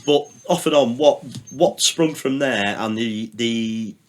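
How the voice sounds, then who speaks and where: A man's voice: mid-range voice, slow, a little hiss or hum. Rambling man, casual. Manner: rambling, anecdotal, swears, many fillers. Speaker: podcast guest, radio producer. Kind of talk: casual chat.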